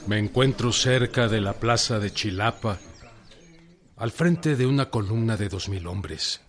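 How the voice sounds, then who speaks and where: An actor playing a stern, defiant revolutionary general in a radio drama, with a Mexican accent and emphatic, deliberate oratory. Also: male voice, fairly low pitch, lightly acted. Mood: resolute, commanding. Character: defiant, indignant male agrarian revolutionary general, stern and commanding. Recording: music behind the voice.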